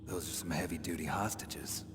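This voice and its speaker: An actor playing a gruff, world-weary retired soldier in a video game, terse, dry and skeptical, with a gravelly voice. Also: male voice, sits low, fairly even intonation, normal speed. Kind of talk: dramatic video game voice acting. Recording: noisy, long reverberant hall sound.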